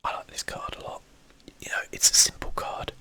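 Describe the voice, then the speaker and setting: Whispering ASMR gamer, hushed and breathy. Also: fairly quick. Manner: casual, self-deprecating, mildly sweary. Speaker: gaming channel host. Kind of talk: whispered ASMR game commentary.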